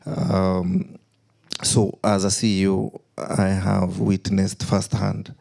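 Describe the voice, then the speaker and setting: A Kenyan man speaks on a conference panel in a very low, flat voice, as a company CEO. His delivery is slow and halting, full of "uh" pauses. The tone is gravelly and dark, with a husky edge. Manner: halting, heavy with "uh" fillers. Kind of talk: formal panel remarks.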